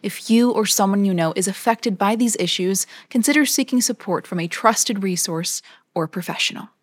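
A woman hosting a podcast, narrating a scripted documentary story in a measured, even way. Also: medium pitch, no room sound. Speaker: podcast host.

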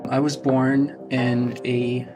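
A man, recalling his family history in an interview, halting with many restarts and fillers. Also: mid-range voice, level tone, mellow, soft background music. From Ukraine. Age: 30s. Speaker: interview guest, divinity student. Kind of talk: personal recollection in an interview.

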